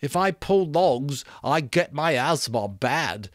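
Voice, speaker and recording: A man's voice: on the high side, expressive, slow pace, no room sound, studio quality, voice pushed up. Man putting on a plaintive, whining schoolboy. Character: Piggy, anxious asthmatic schoolboy, moody and plaintive. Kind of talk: dramatic audiobook character reading.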